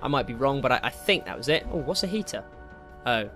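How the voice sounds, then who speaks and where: Bright-voiced young British man gaming. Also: medium pitch, very animated, quick pace, faint music behind. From Britain. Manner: rambling, easily distracted, jokey. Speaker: gaming video host. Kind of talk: casual gameplay commentary.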